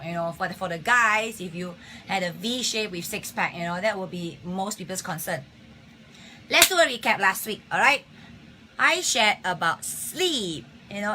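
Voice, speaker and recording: Bright, husky woman hosting a live health talk. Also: medium pitch, slight background noise. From Singapore. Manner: chatty, greets viewers by name, many fillers. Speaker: health talk show host. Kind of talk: casual live-stream talk. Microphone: phone or webcam on a live stream.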